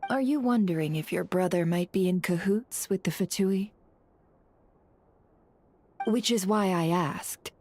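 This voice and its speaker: An actress playing a shrewd, composed woman informant in a video game, deliberate and teasing as she probes. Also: lowish voice, a little mellow, slight background noise.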